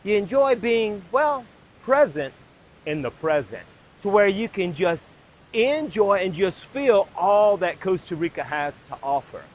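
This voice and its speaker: A man presenting a video as host, in a scripted, emphatic style with deliberate pauses. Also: high voice, big pitch swings, slight background noise, low-quality recording.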